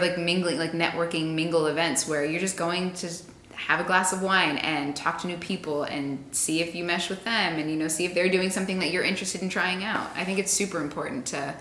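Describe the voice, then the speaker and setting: A woman's voice: deep voice, fairly bright tone, roomy sound, slight background noise. A woman in her late twenties chatting casually and upbeat in an interview, laughing readily. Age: 20s. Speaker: interviewee, radio and social media personality. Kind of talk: casual conversation.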